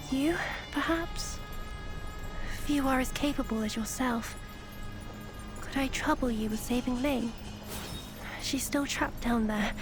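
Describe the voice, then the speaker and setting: An anxious young woman from a video game. Her voice is on the high side, with a soft, hesitant, earnest delivery. Music is clearly audible underneath. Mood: pleading, guilty. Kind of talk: video game cutscene acting. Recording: music behind the voice.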